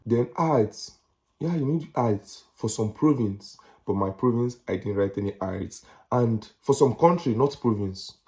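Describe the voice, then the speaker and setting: A man's voice: mid-range voice, measured pace, a little mellow. A young Nigerian man with a Nigerian accent, giving casual advice in a chatty, repetitive way with slangy asides. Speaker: vlogger.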